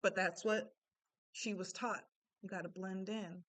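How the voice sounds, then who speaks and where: Woman, slight Panamanian Spanish accent, animated, conversational, storytelling monologue. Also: mid-range voice, measured pace. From born in Japan, Panamanian family. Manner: animated, conversational, frequent "right?" asides. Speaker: self-help speaker.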